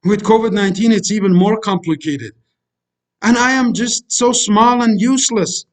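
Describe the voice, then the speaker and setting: A man's voice: high voice, moderate pace. A middle-aged man whose native language is Kurdish, lecturing as a life coach in a preachy, repetitive way and calling the listeners friends. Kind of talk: motivational voice-over lecture over slides.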